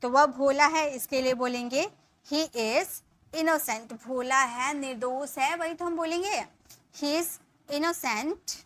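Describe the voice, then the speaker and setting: A woman in her thirties gives an English lesson, explaining each point in Hindi. Her voice sits high and sounds bright and clear. She takes her time, saying the English sentences slowly and word by word for her viewers. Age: 30s. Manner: addresses viewers as guys, checks in with "ठीक है". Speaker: English teacher.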